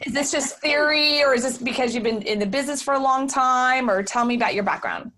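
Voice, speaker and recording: A woman's voice: high voice, brisk, sharp tone. A woman from Houston, hosting an interview in an outspoken, emphatic, debating way. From Houston, Texas. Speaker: podcast host. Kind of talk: casual interview chat.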